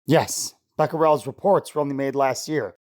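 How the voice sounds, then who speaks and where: A man's voice: medium pitch, moderate pace, a little mellow, natural voice. Male actor as devoted young scientist, earnest, amorous, vintage radio drama delivery. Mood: interested. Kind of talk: old-time radio drama acting.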